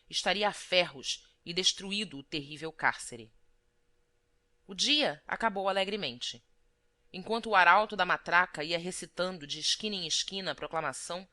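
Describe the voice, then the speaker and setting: A woman reads literary prose as an audiobook narrator, in a measured, formal manner. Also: fairly low pitch, bright, forceful tone.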